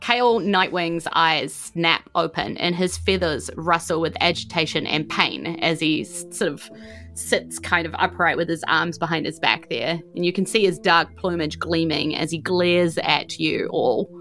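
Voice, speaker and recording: A woman's voice: sits low, a little slow, a bit bright, soft background music. A woman with a New Zealand accent, running a casual tabletop roleplaying game as game master.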